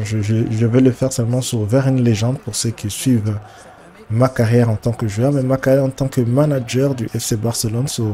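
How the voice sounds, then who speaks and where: A man with a head cold giving casual, halting commentary as he streams a football video game, often repeating his words as he thinks aloud. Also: mid-range voice, dark tone. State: congested with a cold. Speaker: gaming streamer.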